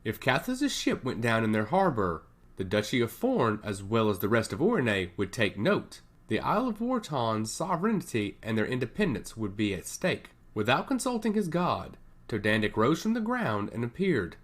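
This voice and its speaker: A man reads a fantasy story aloud with great animation. His pitch swoops high and low through every sentence, which gives the narration a sing-song lilt. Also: wildly swooping pitch, moderate pace.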